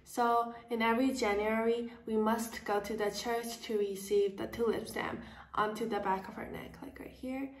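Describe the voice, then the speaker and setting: A woman's voice: fairly high pitch, level tone, normal speed, reverberant, distant mic. A teenage girl from Taiwan, explaining a school project in a plain, explanatory way. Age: teens. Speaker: student presenter.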